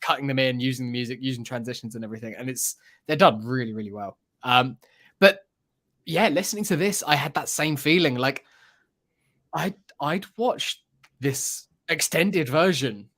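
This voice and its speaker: A man's voice: mid-range voice, a bit bright. A man talking casually as a podcast panelist, with an enthusiastic, rambling way of discussing what he has been listening to. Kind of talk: casual chat about a radio drama.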